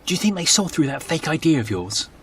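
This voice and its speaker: A man's voice: fairly high pitch, sing-song, fast, a bit bright, slight background noise. A man playing a polite, sceptical travelling companion who asks questions courteously in an audio drama. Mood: polite, doubtful.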